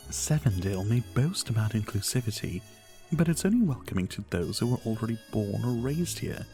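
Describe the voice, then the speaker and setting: Man acting a gravelly, charming vampire bartender. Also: lowish voice, warm tone. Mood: wistful, guarded. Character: charming flirtatious male vampire bartender, modest outsider. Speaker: roleplay audio performer. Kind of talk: ASMR roleplay, addressing the listener.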